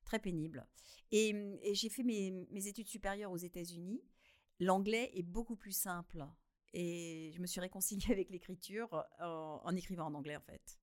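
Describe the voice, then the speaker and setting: Animated, hesitant woman guest. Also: on the low side, normal speed. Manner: expressive, laughing, loud bursts of emphasis; talks with many hesitations and repeated words. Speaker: guest author. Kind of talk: radio interview conversation.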